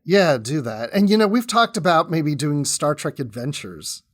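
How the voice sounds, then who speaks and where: Casual man with a higher-pitched voice. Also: expressive, moderate pace. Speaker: podcast guest. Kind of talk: casual podcast chat.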